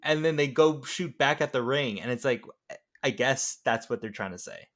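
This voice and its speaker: A man's voice: mid-range voice, moderate pace, fairly bright tone. A man chatting casually about films, animatedly retelling scenes, acting out lines and swearing freely. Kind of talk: casual movie discussion podcast chat.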